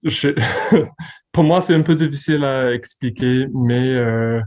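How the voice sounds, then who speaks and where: A man's voice: medium pitch, slow pace, darkish tone, dull audio. A man from Newfoundland and Labrador, a newspaper director, speaking in a hesitant casual conversation and often repeating words. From Newfoundland and Labrador, Canada.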